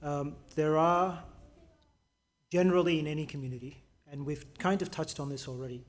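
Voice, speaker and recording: A man's voice: fairly high pitch, fairly even intonation, moderate pace. Man moderating with easy politeness. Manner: polite, easygoing, filler-heavy. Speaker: host, moderator. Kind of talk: live panel discussion.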